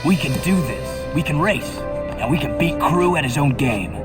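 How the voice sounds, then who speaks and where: An actor playing a terse, defiant young hero racer in a video game. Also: male voice, on the high side, expressive, moderate pace, close to an everyday voice. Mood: terse resolve. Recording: loud music behind the voice.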